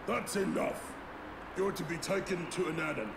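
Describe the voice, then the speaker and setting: An actor playing a gruff, menacing soldier and kidnapper in an audio drama. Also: male voice, a little high, moderate pace. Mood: stern, impatient. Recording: loud background noise and ambience.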